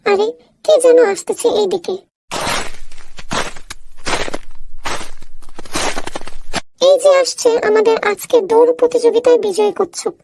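Teeny animal judge for a kids cartoon, super high but mellow, kinda preachy. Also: female voice, steady tone, brisk, gravelly, slight background noise, rough recording. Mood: expectant. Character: small high-voiced animal race judge, gently moralizing. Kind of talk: children's cartoon dubbing.

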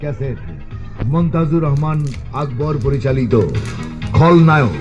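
A man's voice: on the high side, a little slow. A man narrating a dramatic, emphatic scripted film promo, played back from a cassette. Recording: dull cassette playback through speakers. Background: loud music.

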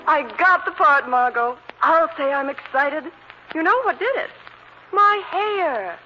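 An actress playing a vain, excitable young stage beauty in an old-time radio drama. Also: female voice, sits high, unhurried, exaggerated voice. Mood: excited, self-pleased. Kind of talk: 1940s radio drama acting. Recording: muffled, noisy old radio recording.

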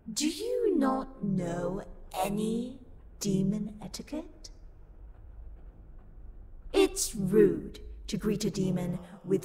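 Woman acting a high-pitched, livid, haughty demoness. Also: noisy background, full character voice. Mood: haughty, menacing. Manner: indignant, imperious, loud outbursts. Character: livid, haughty female demon, sassy and easily insulted. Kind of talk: dramatic roleplay monologue. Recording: long reverb, music behind the voice. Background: music.

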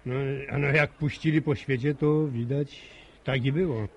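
A man's voice: mid-range voice, fairly even intonation, moderate pace, husky, highs cut off, lightly acted. An actor playing a good-natured village man in a radio drama, chatty and persuasive in colloquial rural speech. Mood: jovial.

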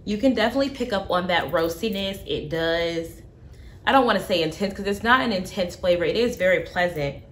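A young woman vlogging from her home kitchen, talking through a coffee tasting in a relaxed way with plenty of fillers. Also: fairly low pitch, fairly quick. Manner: relaxed, thinking aloud with many fillers. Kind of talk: casual tasting commentary.

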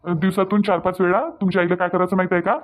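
Man playing a high-voiced, folksy village doctor. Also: little pitch movement, very fast, close to an everyday voice. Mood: reassuring. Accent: Varhadi, Vidarbha Marathi. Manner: folksy, reassuring, repetitive. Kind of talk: cartoon dialogue.

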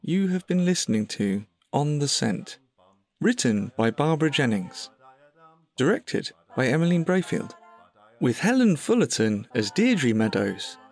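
A man's voice: medium pitch, gravelly, darkish tone. A man reading scripted podcast credits as the announcer.

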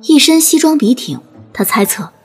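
A woman's voice: high-pitched, sing-song, moderate pace. A woman narrating a scripted dramatic audiobook story. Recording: music bed under narration.

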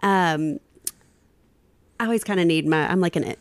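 A woman, a podcast guest talking in an easygoing way with halting restarts. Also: fairly low pitch, no echo. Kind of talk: casual interview chat.

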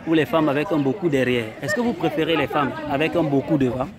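A man's voice: fairly high pitch, fast talker. A man interviewing passers-by on a street, teasing and laughing often. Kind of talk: vox pop street interview. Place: outdoors on a busy campus street. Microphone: handheld phone held out to the guest. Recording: busy crowd chatter behind.

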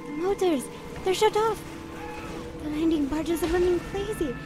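A nervous, curious young boy, pitched very high. The wartime radio drama has clear music behind the voice. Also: normal speed. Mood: amazed, alarmed. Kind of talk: audio drama acting.

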